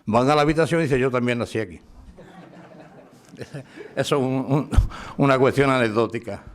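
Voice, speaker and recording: A man giving a lively, informal talk at a town tribute, acting out dialogue as he tells an anecdote. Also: a little high. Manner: chatty storyteller, acts out dialogue. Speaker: speaker giving biographical sketch. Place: town hall event room.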